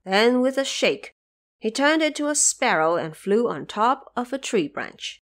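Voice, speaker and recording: Expressive woman narrating an audio drama. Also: on the high side, a little slow. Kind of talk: scripted audio drama storytelling.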